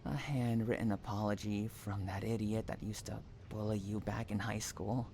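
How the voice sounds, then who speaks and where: A man's voice: lowish voice, level tone, normal speed, warm tone, a little hiss or hum, close to an everyday voice. A man acting an obsessive, unhinged stalker in an audio roleplay, cooing pet names and drawing out words between tenderness and anguish. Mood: wounded, obsessive. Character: obsessive, unhinged male stalker lover, sweetly possessive and menacing. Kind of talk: dramatic roleplay audio monologue.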